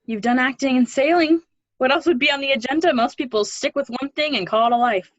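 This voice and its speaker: A woman reading the part of an older, guarded but protective woman in a play, conversational and gently teasing. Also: sits high, fast talker, no echo, lo-fi sound. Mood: soft, reflective. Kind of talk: play readthrough acting.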